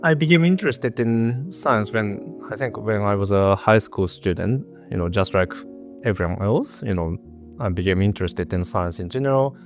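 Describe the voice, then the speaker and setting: A man's voice: on the low side, normal speed, faint music behind. A middle-aged man from Japan with a Japanese accent, a researcher giving earnest, carefully prepared interview answers with frequent restarts, sounding a little nervous.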